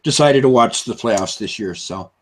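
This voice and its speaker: A man's voice: lowish voice, lively intonation, normal speed, slight background noise. A man with a chest cold co-hosting a live stream over a video call, chatty and upbeat with lots of fillers. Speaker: co-host. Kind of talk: casual live-stream chat.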